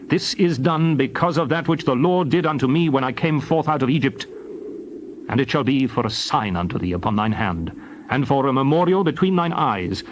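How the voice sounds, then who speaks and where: A man giving a solemn, dramatized scripture reading in a measured, declarative manner. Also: medium pitch, background noise. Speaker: scripture reader.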